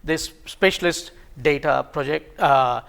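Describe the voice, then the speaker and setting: A man whose first language is Hindi, giving a technical talk at a conference, halting with many ums and self-corrections and a bit of self-deprecating humor. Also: fairly high pitch, gravelly, a bit bright. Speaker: conference presenter. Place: conference room.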